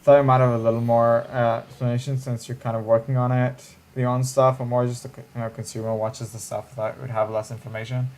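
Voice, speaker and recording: A man's voice: medium pitch, flat delivery, a little fast, darkish tone. A man, chatting casually on a livestream in a musing, hesitant way with frequent restarts. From Israel.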